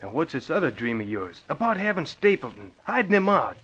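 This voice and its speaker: A cocky tough-guy gangster from a 1940s radio play. His husky voice swings widely as he sneers suspiciously in slang. The recording is old and muffled. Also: a little high, sing-song, a little fast, fairly bright tone, heavily acted. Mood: sneering, suspicious. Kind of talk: 1940s radio drama acting.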